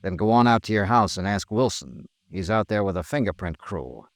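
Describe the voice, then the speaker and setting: An American actor playing a cool, accusing insurance investigator in a 1950s radio drama, with a clipped, deadpan delivery. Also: male voice, lowish voice, moderate pace, dry recording. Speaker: lead actor and narrator. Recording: vintage radio drama, dry, music behind.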